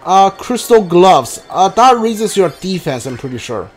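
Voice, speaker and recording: A man streaming a game with casual, halting commentary, often repeating himself. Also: high-pitched. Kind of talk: casual live playthrough commentary. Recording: steady background noise.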